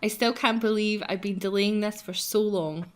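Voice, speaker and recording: A woman presents a visual novel playthrough. Her voice is medium in pitch, and she talks casually and gigglily, moving between chat and reading the game's text. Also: steady tone, normal speed. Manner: giggly, chatty. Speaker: let's play host.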